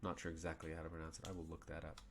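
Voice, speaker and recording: A man in his thirties talks through a strategy game on stream, half muttering to himself as he works out problems. His voice sits low with a gravelly fry. A faint hiss sits under the desk mic. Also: completely flat delivery, very fast. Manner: thinking aloud, puzzled muttering to himself. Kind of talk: casual gameplay commentary. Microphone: desk mic with webcam.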